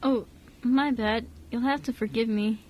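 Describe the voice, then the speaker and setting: A woman's voice: fairly high pitch, steady tone, unhurried, poor audio. A woman playing a modest, kind, easygoing young boy in an amateur radio play. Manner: gentle, unbothered.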